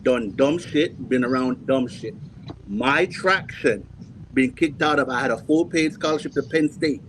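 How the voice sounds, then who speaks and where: A man in his forties from Jamaica, hosting a heated panel debate and cutting in forcefully to hold the floor. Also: medium pitch, unhurried, slight background noise, rough recording. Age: 40s. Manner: forceful, keeps cutting in with "hold on" and repeats himself. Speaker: host.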